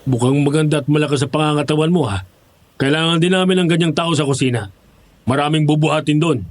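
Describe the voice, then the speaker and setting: A man's voice: on the high side, fast talker, lightly acted. An actor playing a persuasive, glib male job recruiter with coaxing sales talk in a horror audio drama. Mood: sizing up, brisk.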